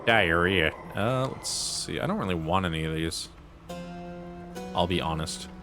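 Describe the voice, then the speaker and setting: A man with a somewhat low voice chats loosely while he plays, circling his points and poking fun at himself. Game music runs clearly under his talk. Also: animated, a little slow. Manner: rambling, many fillers and restarts, self-deprecating. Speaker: streamer. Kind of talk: casual chat while gaming.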